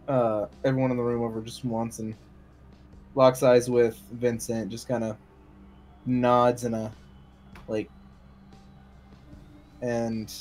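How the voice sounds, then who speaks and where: A man's voice: mid-range voice, a little mellow. A man talking casually and somewhat hesitantly as a player in a tabletop roleplay, with a polite manner.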